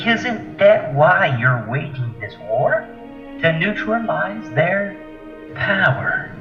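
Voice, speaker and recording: Guy doing a proud alien science officer, precise lofty talk, fairly high voice, muffled lo-fi recording. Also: expressive, takes it slowly, exaggerated voice. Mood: cool, probing. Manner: formal, precise, lofty diction. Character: proud, analytical male alien scientist, coolly superior. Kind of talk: dramatized comic-book audio drama. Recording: dull echoey lo-fi audio, music.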